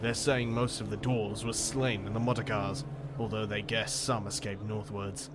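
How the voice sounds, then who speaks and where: A man's voice: on the low side, little pitch movement, moderate pace, noisy background, close to an everyday voice. A man voicing a dutiful army captain in a fantasy audiobook, deferential and soldierly as he speaks. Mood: dutiful, urgent. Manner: deferential, military.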